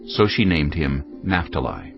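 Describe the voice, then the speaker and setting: Male narrator, very low voice, highly varied intonation, scripted Bible narration, soft music behind, slightly dull recording. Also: lively intonation, measured pace, gravelly.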